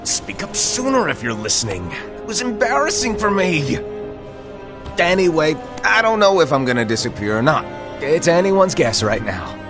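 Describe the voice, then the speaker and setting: A man's voice: a little high, sing-song, normal speed. A man voicing a quirky, teasing master in a video game cutscene, glib and theatrical, meandering as he instructs. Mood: flippant, musing. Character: quirky, playful old master, teasing and flippant. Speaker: mentor figure. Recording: music behind the voice.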